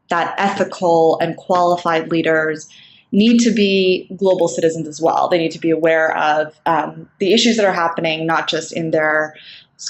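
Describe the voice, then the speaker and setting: Low-voiced woman with an American-sounding accent, echoey room. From Singapore. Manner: thoughtful, measured, with frequent ums. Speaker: nonprofit co-founder. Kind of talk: recorded talk for an online summit. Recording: echoey room, faint background noise.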